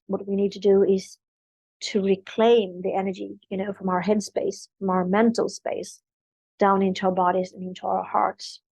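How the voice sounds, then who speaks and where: A woman's voice: medium pitch, little pitch movement, moderate pace, vocal fry, a little mellow, breathy edge, no echo. A middle-aged woman whose first language is Swedish, from Sweden, speaking earnestly in English about spiritual growth in a podcast interview. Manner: earnest, flowing spiritual talk. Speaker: author and spiritual coach.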